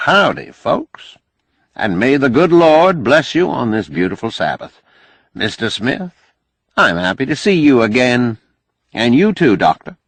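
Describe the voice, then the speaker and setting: A man voicing a folksy, pushy, glad-handing senator who preaches, loud and emphatic, for an audiobook. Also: mid-range voice, big pitch swings, unhurried, slightly rough, no room sound, full character voice. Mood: hearty, glad-handing. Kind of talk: audiobook character acting.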